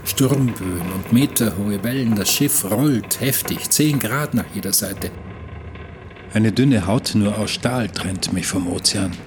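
Radio drama narrator: sleepless seaman, lowish voice, measured and brooding log entries. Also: background noise. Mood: uneasy, brooding. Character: sleepless, troubled seaman reading his ship's log. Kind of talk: radio play diary narration.

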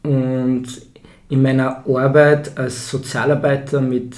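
A man's voice: medium pitch, little pitch movement, takes it slowly, darkish tone. A young man, a social worker chatting as a podcast guest in reflective, winding sentences full of fillers. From born in Israel, raised in Austria. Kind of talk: casual conversation. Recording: roomy echo.

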